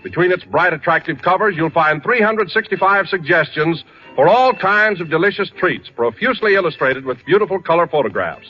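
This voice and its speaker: A man's voice: high voice, expressive, brisk, soft background music. A man announcing a scripted 1940s radio commercial in a polished, persuasive way. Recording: muffled 1940 radio broadcast.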